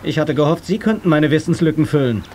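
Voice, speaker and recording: A man playing a calm, determined investigator in a German audio drama, with a probing, persuasive manner. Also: a little high, quick pace, lightly acted. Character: determined, calm male investigator hero seeking answers. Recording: faint noise, cassette transfer.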